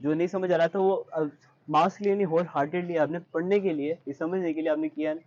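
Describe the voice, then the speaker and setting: A man's voice: on the high side, fairly even intonation, quick pace, darkish tone, no echo, lo-fi sound. A young man with a Pakistani accent answering interview questions conversationally, explaining and giving advice with English words mixed in. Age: young adult. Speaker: interview guest, university student.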